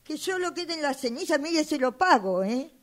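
A woman's voice: sits high, very animated, moderate pace. A woman playing an exasperated, insistent elderly widow in an amateur radio drama, complaining in a flustered, dramatic way. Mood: agitated, exasperated. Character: exasperated elderly widow fed up with her phone.

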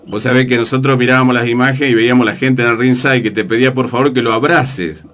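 An Argentine man with an Argentine accent hosting a boxing radio show, asking leading questions in a halting way with many fillers. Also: medium pitch, steady tone, fairly bright tone. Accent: Argentine Spanish. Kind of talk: radio interview.

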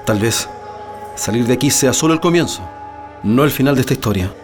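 A man's voice: mid-range voice, expressive, fairly quick, natural voice. Man acting a defiant Chilean political prisoner, music behind. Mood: resolute. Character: defiant male political prisoner, steadfast comrade with quiet resolve. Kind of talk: radio drama acting.

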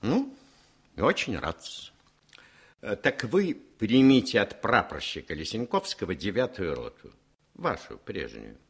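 Radio drama role: aloof, listless colonel, low husky voice, condescending drawl. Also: male voice, very animated, a little slow, full character voice. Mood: cool, languid. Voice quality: weak, listless. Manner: hesitant drawl, lazily sad. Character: aloof, condescending, languid male regimental colonel. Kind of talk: radio play acting.

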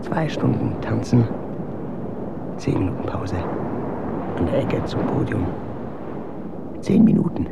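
Exhausted man, brooding, murmured monologue, slow, swinging pitch, heavy noise, radio drama. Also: lively intonation, creaky. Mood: weary, pleading. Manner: murmured, halting monologue, bitter. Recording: loud rumbling noise around voice.

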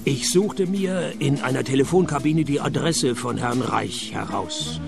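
An actor playing a cynical private detective who narrates a radio play in a dry, sardonic tone. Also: male voice, medium pitch, unhurried, gravelly, over music. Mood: deadpan. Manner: deadpan, sardonic.

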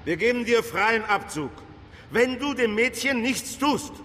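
An actor playing a blustering, sarcastic police chief giving orders in a crime radio drama. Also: male voice, extremely high pitch, lively intonation, unhurried, fairly bright tone, in a large hall, heavily acted. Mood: exasperated. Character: gruff, irascible male police chief.

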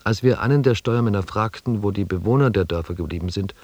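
An actor playing a reflective expedition scribe who narrates a radio drama in a measured, restrained and quietly melancholy way. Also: male voice, lowish voice, background noise, natural voice. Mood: sober narrating. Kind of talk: scripted first-person narration in a German radio drama.

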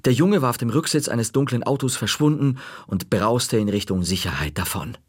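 A man narrating a children's story in a measured, dry-humored way. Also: mid-range voice, slight background noise.

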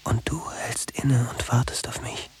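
An actor playing a dreamy, yearning inner voice in a radio drama, breathy and trance-like like a lover in reverie. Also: male voice, mid-range voice, steady tone, a little slow, whispered, lightly acted. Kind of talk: whispered radio drama monologue.

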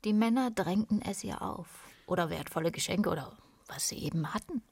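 A woman performing as a knowing storyteller in a literary radio play, theatrical and savouring, with a breathy voice that often drops toward a whisper. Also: on the low side, lively intonation, fairly quick, darkish tone. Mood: confiding. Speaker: radio drama narrator.

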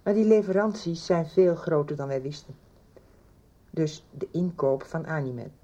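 A woman's voice: low-pitched, a little slow, mellow, dull audio. An actress playing a worried woman confiding in a friend in a radio drama. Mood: concerned, explaining. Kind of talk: 1990s radio drama acting.

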